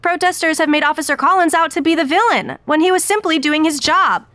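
A woman's voice: extremely high pitch, fairly quick, a bit bright, dry recording. A woman playing a righteous, combative online political commentator, pointed and insistent in an audio drama. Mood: pressing, indignant. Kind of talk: scripted audio drama acting as a video presenter.

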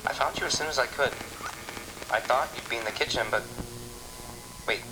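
A man's voice: medium pitch, fairly quick, noisy background, natural voice. A man playing a fussy, earnest colleague who frets, in an audio drama. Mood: defensive, worried. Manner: earnest, fretful.

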